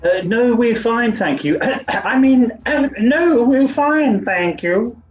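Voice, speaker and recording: Male actor as plummy upper-class twit sleuth, high-pitched, flustered, 1940s radio comedy, muffled recording with music. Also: expressive, slow pace, exaggerated voice. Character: dim-witted, earnest upper-class toff turned amateur sleuth.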